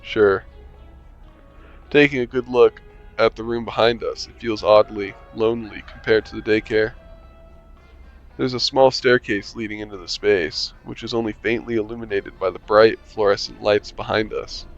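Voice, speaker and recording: A man's voice: fairly low pitch, steady tone, moderate pace, muffled recording, faint music behind. A man reading a visual novel aloud for a let's-play, chuckling and adding wry asides. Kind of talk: casual let's-play narration.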